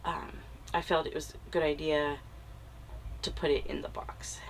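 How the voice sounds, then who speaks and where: A woman talks through her crystal picks in an unhurried, easygoing way, pausing with little fillers. Her voice sits a little low, with a faint hiss behind it. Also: flat delivery, rough recording. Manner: soft, unhurried, with pauses and fillers. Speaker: crystal shop vlogger. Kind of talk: casual explanatory vlog.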